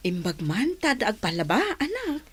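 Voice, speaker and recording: A woman's voice: a little high, huge pitch swings, moderate pace, husky, full character voice. A woman playing a patient, hard-up village mother in an Ilocano radio drama, speaking with a Filipino accent. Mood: gentle, encouraging.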